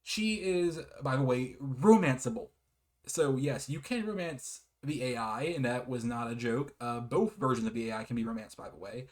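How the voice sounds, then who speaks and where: A man's voice: mid-range voice, normal speed, a little mellow. A man giving a casual, rambling game review in a hesitant, apologetic manner with frequent giggles.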